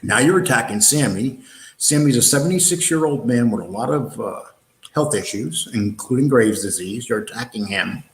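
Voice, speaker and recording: A man's voice: mid-range voice, expressive, moderate pace, roomy sound, rough recording. A man with a New York accent hosting a live online show, answering viewer comments in a blunt, combative way, with many fillers and restarts.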